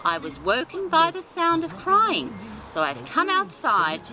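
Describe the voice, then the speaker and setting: A woman voicing a kindly old grandmother in a children's tale, gentle, endearing and sing-song. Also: high-pitched, huge pitch swings, a little slow, over music, voice pushed up, heavily acted. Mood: puzzled. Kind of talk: storybook character voice.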